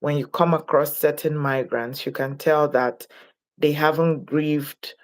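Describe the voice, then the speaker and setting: A woman from Nigeria, speaking as a guest in an interview, reflective and deliberate in her delivery. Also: deep voice, fairly even intonation. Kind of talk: interview conversation.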